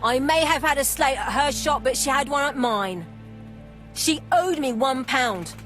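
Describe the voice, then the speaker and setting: Brash high-voiced fruit seller. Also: female voice, expressive, unhurried, fairly bright tone, soft background music. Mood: indignant. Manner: blunt, colloquial. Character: brash, defensive working-class woman fruit seller. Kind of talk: video game voice acting.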